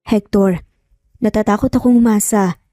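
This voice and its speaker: A woman's voice: medium pitch, steady tone, normal speed, warm tone, no echo, studio quality, close to an everyday voice. A woman voicing a reluctant, easily flustered young woman falling in love in a narrated romance story. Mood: hesitant.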